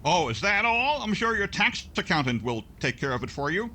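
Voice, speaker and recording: A man acting a hearty, jovial announcer sidekick in a 1940s radio comedy. His voice is high, bright and forceful, with emphatic delivery and faint noise behind it. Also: very animated, normal speed, heavily acted.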